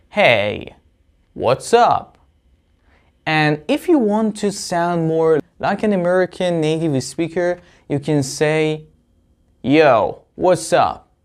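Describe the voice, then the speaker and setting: A man giving an English lesson to viewers, explaining deliberately as a teacher with example phrases. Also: on the high side, smooth.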